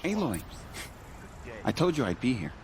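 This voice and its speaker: A man's voice: medium pitch, wildly swooping pitch, moderate pace. Friendly young tribesman, noisy surroundings. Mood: friendly, modest. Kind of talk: video game cutscene acting. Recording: clear background ambience noise.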